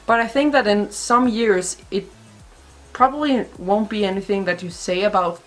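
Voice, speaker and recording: A woman's voice: medium pitch, normal speed, poor audio. A young woman with a Danish accent, a native Danish speaker, chatting bluntly and casually to camera in a vlog, swearing freely and laughing often. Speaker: vlogger.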